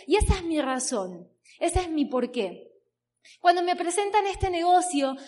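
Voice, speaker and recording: A woman's voice: high voice, darkish tone. A woman in her thirties with an Argentine accent from the Buenos Aires area, telling her personal story as a motivational speaker at a business event, emphatic and stacking long repeating lists. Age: 30s. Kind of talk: personal story told from a stage. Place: stage before a live audience.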